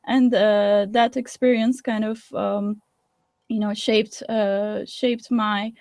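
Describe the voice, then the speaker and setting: A woman from Turkey, a scientist answering interview questions in a thoughtful, measured way with frequent fillers and restarts, heard over a video call. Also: medium pitch, flat delivery, darkish tone, dry recording, studio quality. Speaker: guest scientist.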